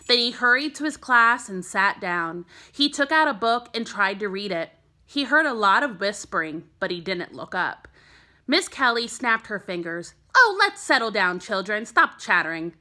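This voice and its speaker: A woman reads a children's story aloud as a teacher would for a young class. Her voice is bright and mid-pitched, with very animated, expressive intonation. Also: normal speed. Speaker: teacher reading aloud to first graders.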